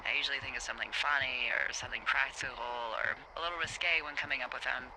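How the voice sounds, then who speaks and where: A woman's voice: extremely low pitch, steady tone, fast, background noise. A woman with an American accent playing a wry, flirtatious fire lookout in a video game, talking candidly over a two-way radio. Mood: playful. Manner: wry, teasing, conversational.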